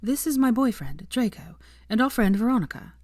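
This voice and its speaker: Casting: woman narrator as self-conscious young heroine of an audiobook, earnest, careful, slightly lifted pitch. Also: lively intonation, a little fast, darkish tone, dry recording, clean studio sound, lightly acted. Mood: friendly. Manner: careful, a little stiff.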